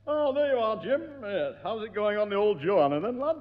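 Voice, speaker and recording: An actor playing a pompous, chatty neighbour in a 1960s radio sitcom, speaking in a theatrical, rambling way. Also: male voice, high voice, very animated, brisk. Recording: dull echoey 1960s radio recording.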